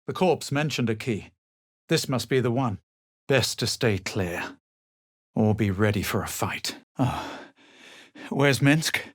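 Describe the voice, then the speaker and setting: A man voicing a smug, self-assured adventurer hero in a fantasy video game, with a husky voice and a measured, dramatic delivery. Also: medium pitch, no echo, studio quality. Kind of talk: video game voice lines, narration and spell calls.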